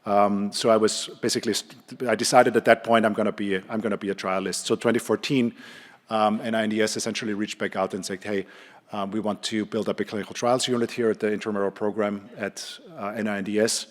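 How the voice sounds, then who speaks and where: A middle-aged man with an Austrian accent giving an informal conference presentation, haltingly, with repeated words and many hesitations. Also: on the low side, flat delivery. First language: German. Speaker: conference speaker, neurologist and clinical trialist. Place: conference hall, speaking to an audience. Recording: reverberant hall sound.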